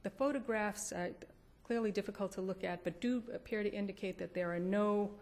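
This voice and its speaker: A woman's voice: mid-range voice, a little fast, darkish tone. A woman defense attorney giving an appellate oral argument in a courtroom. She is careful and hesitant and often restarts her phrases.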